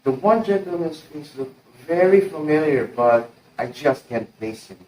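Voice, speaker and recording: A teenage boy playing a formal, deferential butler in an amateur play, announcing politely and with measured words. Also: a little high, animated, mellow, slight background noise. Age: teens. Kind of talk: amateur stage-play acting. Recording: roomy, distant mic, music behind.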